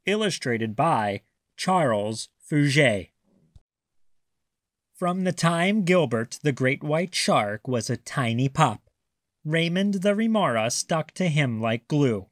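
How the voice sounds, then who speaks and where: A man reading a children's picture book aloud as a storyteller, with expressive, deliberate narration. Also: on the high side, no room sound, clean studio sound.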